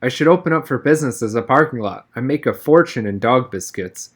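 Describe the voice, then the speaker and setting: A man reading a novel aloud as an expressive narrator, with wry first-person storytelling. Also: medium pitch, a little fast. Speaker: reader, narrator. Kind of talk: expressive audiobook-style read-aloud of a novel.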